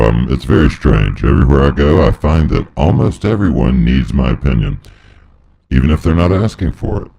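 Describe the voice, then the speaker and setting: Extremely deep, gravelly, electronically altered man. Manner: deadpan, absurd musing. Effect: voice transformer pitch shifter. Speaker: comedy performer. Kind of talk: rambling improvised comedy monologue.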